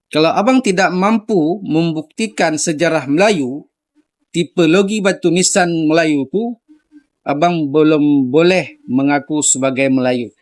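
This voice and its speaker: A man speaking directly to someone in a video response, insistently challenging him with repeated questions. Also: fairly high pitch.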